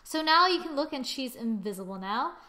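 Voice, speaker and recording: A woman narrating a software tutorial casually, thinking aloud as she goes in an informal way. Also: high-pitched, animated, brisk. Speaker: tutorial presenter.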